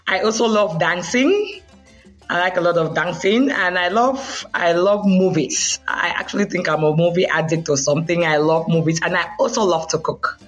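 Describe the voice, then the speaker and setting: A woman from Cameroon speaks as a podcast guest. She talks plainly and warmly about what she loves. A faint bed of music sits quietly under her voice. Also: fairly low pitch, normal speed. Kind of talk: casual interview answers.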